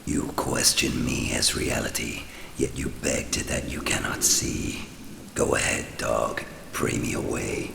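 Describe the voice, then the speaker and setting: Vengeful devil, radio play, cavernous bottom-low pitch, slow mocking delivery, gravelly, archaic grandeur. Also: male voice, little pitch movement, background noise, heavily acted. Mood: menacing, mocking. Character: menacing male devil, mocking, imperious and vengeful. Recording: echoing voice under loud music and rain.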